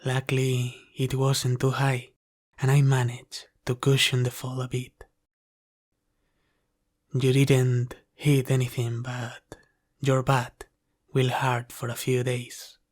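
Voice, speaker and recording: A devoted half-dragon butler, played by a man for an ASMR roleplay, speaks at a slow, careful pace. His mid-pitched voice stays level and restrained. He is formal, deferential and caring, with an apologetic humility toward the one he serves. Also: completely flat delivery, darkish tone. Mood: concerned.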